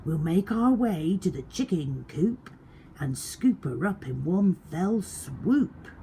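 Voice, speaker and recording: A woman's voice: lowish voice, big pitch swings, slow, warm tone, slight background noise, voice pushed up, heavily acted. A woman voicing a greedy male thief, a scheming villain in a children's storybook. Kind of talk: children's storybook read aloud.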